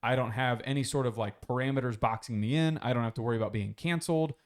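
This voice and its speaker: A man's voice: medium pitch, quick pace. A man co-hosting a casual podcast chat, telling a long story in a rambling way, swearing freely. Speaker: podcast co-host.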